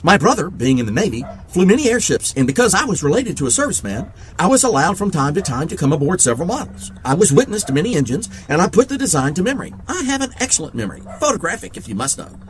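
High-pitched, swooping voice, male actor as eager eccentric mechanic, proud wordy explainer, audio drama, some background noise. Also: big pitch swings, fast. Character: eccentric genius mechanic, older brother in motorized wheelchair.

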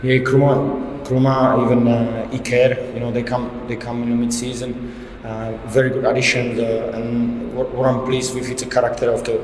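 Man, football coach, flat delivery, roomy press-room echo. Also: medium pitch, moderate pace, a little hiss or hum. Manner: plain, repetitive phrasing with frequent restarts. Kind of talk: post-match press conference answers. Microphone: table microphone. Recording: echoey room with reverb.

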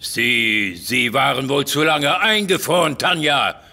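Blustering general, audio drama, bright lively voice, slightly husky. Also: male voice, medium pitch, measured pace, exaggerated voice. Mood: indignant. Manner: pompous, stumbles over words. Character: blustering militaristic male army general. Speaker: voice actor.

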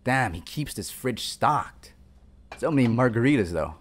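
Lively young college jock, acted by a man. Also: mid-range voice, moderate pace, husky. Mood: relaxed, encouraging. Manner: informal slangy bro talk with hesitations. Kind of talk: roleplay audio acting, casual chat to the listener.

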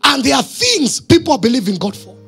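A man in his thirties with a Kenyan accent, a pastor preaching emphatically in short phrases and questions to his congregation, husky, on a handheld microphone in church. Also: extremely high pitch, big pitch swings, fairly quick, a bit bright, faint music behind. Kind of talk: sermon. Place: church stage, indoors.

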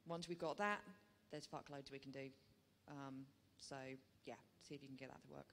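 A woman's voice: extremely low pitch, steady tone, normal speed, fairly bright tone, slight background noise. A woman giving a casual, irreverent answer as a panelist in a large hall. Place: large hall with seated audience.

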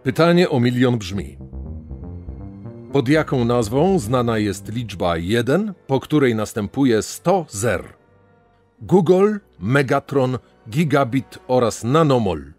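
A man playing an incredulous TV quiz show presenter in a dramatized reenactment, with deliberate delivery. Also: medium pitch, big pitch swings, darkish tone, faint music behind. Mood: suspenseful.

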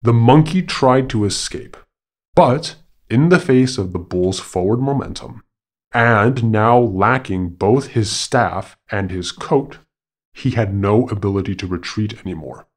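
A man narrating a scripted animal fable as a podcast storyteller, in a formal, measured and dramatic manner. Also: lowish voice.

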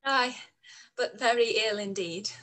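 A young woman playing a witty, self-possessed gentlewoman in a period drama read over video call. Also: a little high, measured pace. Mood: polite, modest. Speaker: actor in live read. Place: small room at home.

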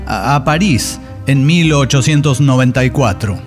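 An actor playing a cautious, easily startled time traveller in a comic radio play, hesitant and exclaiming. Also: male voice, mid-range voice, normal speed, faint music behind, lightly acted. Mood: earnest, explanatory. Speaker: radio theatre performer.

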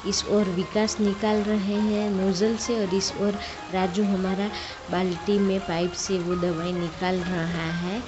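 A woman's voice: mid-range voice, level tone, a little slow, dull audio, music in the background. A woman narrating farm work for her vlog in a chatty, casual way, talking to viewers as friends, outdoors on a farm. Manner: chatty, addresses viewers as friends, many fillers. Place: outdoors on a farm near a noisy tractor.

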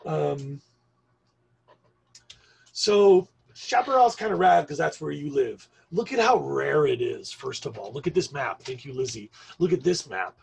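A middle-aged male teacher talks slowly through a webcam lesson, filling his pauses with ums. His voice sits a little high and sounds rough and raspy, with a gravelly edge. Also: expressive. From Argentina. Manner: slow, rambling explanation with frequent ums. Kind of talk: recorded online class lecture.

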